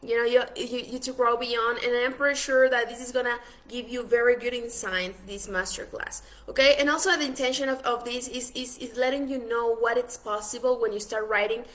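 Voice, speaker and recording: Ecuadorian woman, coaching a business class, highish voice, earnest, lots of "you know" restarts. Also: fairly even intonation, fairly quick, hardly any pauses, fairly bright tone. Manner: earnest, many restarts and fillers. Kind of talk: online masterclass talk.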